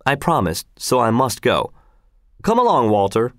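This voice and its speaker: A man's voice: medium pitch, huge pitch swings, moderate pace, dry recording, studio quality. A man reading a story voices a bold, easygoing hunter and father who speaks casually and self-assuredly.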